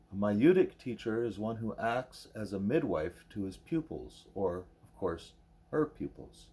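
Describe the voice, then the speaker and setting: A man reading the part of a wise, patient gorilla teacher in an audiobook, speaking in formal, measured, probing sentences. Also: lowish voice, mellow, husky, full character voice.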